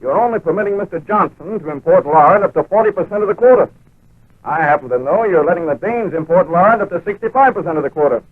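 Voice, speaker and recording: Casting: firm, earnest embassy attaché and narrator, emphatic delivery, thin narrow-band 1940s radio sound. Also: male voice, high voice, animated, fast talker, no room sound. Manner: authoritative, emphatic.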